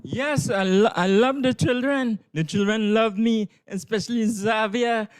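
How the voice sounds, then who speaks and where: A Trinidadian man gives spontaneous interview answers in a high, clear voice. He speaks emphatically, in short bursts. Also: a little slow. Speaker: school drama tutor, interviewee.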